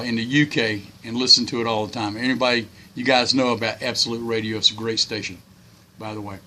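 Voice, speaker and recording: Older man, bright voice, folksy and rambling. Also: mid-range voice, a little hiss or hum. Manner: folksy, plain-spoken, rambling. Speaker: hobbyist video host. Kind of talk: casual unscripted tips talk.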